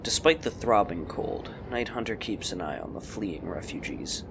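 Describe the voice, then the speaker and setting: A man's voice: fairly low pitch, expressive, normal speed, gravelly, noisy background. A man reading scripted fantasy story narration as the narrator of an audio drama.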